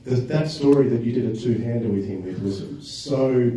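A middle-aged man hosts a live Q&A in a casual tone. His voice is extremely dark and mellow, heard a little way off in a heavily echoing room. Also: medium pitch, moderate pace, slight background noise. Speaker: host and interviewer of a fan Q&A. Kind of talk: casual interviewing. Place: indoor function room at a live event. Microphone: handheld microphone. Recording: very echoey room, distant pickup.

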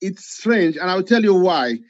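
High-pitched man on a muffled call. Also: animated, a little slow. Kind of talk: casual chat. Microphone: video call. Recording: dull, lo-fi video call.

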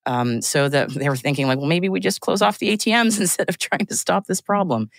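A woman's voice: lowish voice, animated, a little fast, vocal fry, no echo. A woman guest on a podcast, chatting casually, laughing often and restarting her sentences. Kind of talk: casual chat.

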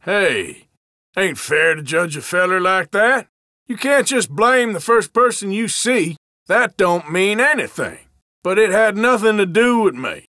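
An actor playing an irritable, wary Old West outlaw in a video game, with a rural American drawl and a folksy, sardonic, defensive manner. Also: male voice, high-pitched, very animated, unhurried, bright, forceful tone, no echo, studio quality, exaggerated voice. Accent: American, rural Western drawl. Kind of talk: game voice lines, short barks.

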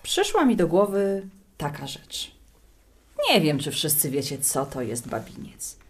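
A wry, chatty middle-aged widow acted by a woman in a radio play. She talks colloquially, like someone confiding in friends, and her pitch swings in an animated way. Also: unhurried, soft background music, exaggerated voice. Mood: wry musing. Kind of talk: audio drama monologue and phone acting.

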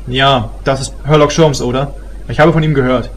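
A man playing a dry, reserved, sceptical psychologist in an amateur comedy audio drama, speaking tersely and deadpan. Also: medium pitch, moderate pace, close to an everyday voice. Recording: faint background noise.

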